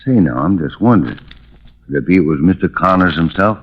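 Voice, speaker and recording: An actor playing a folksy, chatty night elevator man in a 1950s radio drama, slow and musing. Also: deep voice, animated, heavy background noise. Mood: musing, helpful. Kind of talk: 1950s radio character acting. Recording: dull old radio broadcast recording.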